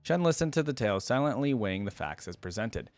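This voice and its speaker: A man's voice: lowish voice, very animated, fast, dry recording. A man hosting a reaction video, moving between casual commentary and reading story text aloud.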